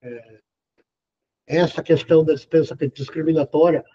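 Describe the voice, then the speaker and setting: A middle-aged man lectures in a loose, chatty way, peppering his points with casual tags. His voice is husky and sits a bit high. The video call audio sounds dull and lo-fi. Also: steady tone, normal speed, dark tone. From southern Brazil. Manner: conversational, sprinkles "cara" and "né". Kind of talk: casual lecture over web conference.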